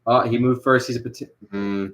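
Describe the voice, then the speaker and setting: A man's voice: mid-range voice, fairly even intonation, brisk, a bit bright. A young man chatting casually on a livestream while he animates. He rambles, with lots of fillers and restarts.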